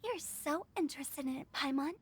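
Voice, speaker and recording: A woman's voice: high-pitched, fairly quick, lightly acted. A woman voicing a playful, theatrical young funeral director in a video game, mock-formal and teasing. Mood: sales patter.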